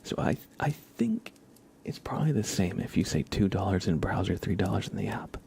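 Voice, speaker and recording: A man hosts an ASMR livestream, chatting casually and gently in a hushed whisper, close to the microphone. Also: low-pitched, expressive, normal speed, creaky, a little hiss or hum. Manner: casual, friendly, thanking viewers. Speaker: livestream host. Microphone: close microphone with compression and limiter.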